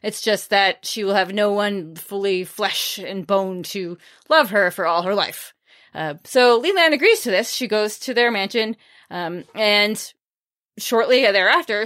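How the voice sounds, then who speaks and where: A woman's voice: medium pitch, a bit bright. A woman hosting a podcast, chatting casually and informally through book recommendations with wry, understated asides. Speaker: podcast host reviewing books.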